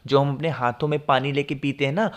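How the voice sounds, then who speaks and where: A man with a sore throat who clears it now and then, casually explaining home devotional rituals to viewers and often checking "all right?". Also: medium pitch, fairly even intonation, a little fast. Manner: repeats "ठीक है ना?" to check with viewers. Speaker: devotional remedies video host. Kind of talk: casual spoken instruction to viewers.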